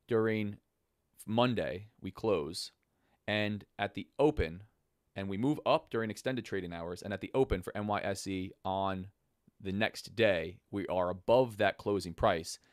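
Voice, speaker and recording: Livestream host, male, low hoarse rasp like a smoker's, informal and buddy-like, recorded close and dry. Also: unhurried, no room sound. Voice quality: raspy smoker's voice, partly lost. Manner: informal, buddy-like address to viewers. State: hoarse, voice half gone. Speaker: host of a live trading stream. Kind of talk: casual livestream chat, explaining to viewers.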